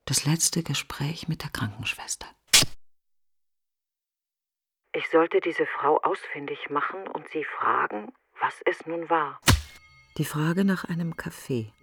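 A woman's voice: low voice, unhurried, vocal fry, loud music behind the voice. An actress playing a grieving, self-accusing woman who broods in fragmented questions in a literary radio play monologue. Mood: mournful. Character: grieving, self-accusing woman mourning her best friend.

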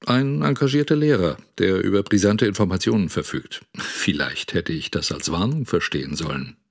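Actor as very deep-voiced sardonic broadcast editor. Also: male voice, expressive, normal speed, creaky, exaggerated voice. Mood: wry. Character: sardonic male broadcast editor giving his account. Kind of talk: radio drama narration.

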